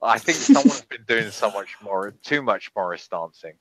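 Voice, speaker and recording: A man's voice: on the low side, wildly swooping pitch, moderate pace, a bit bright, low-quality recording. A man co-hosting a casual podcast chat, with dry, wry banter. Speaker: podcast co-host.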